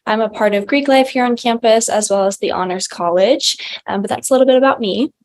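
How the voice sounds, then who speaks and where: A sophomore woman of around twenty from Washington State, briskly and warmly introducing herself. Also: a little high. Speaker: student panelist.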